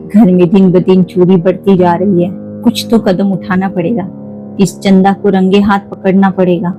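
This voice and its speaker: A woman's voice: mid-range voice, flat delivery, normal speed, mellow, soft background music, voice pushed down, close to an everyday voice. A woman voicing a bossy, suspicious working madam of the house in a toy cartoon, in a commanding manner. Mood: suspicious, hurried.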